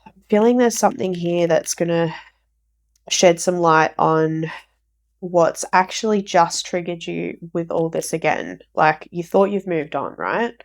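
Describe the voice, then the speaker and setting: Croaky lady doing a tarot reading, a bit low voiced, keeps repeating herself. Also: expressive, a little slow. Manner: rambling, repeats herself with fillers. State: croaky from recovering flu. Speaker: tarot reader. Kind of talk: casual tarot reading to viewers.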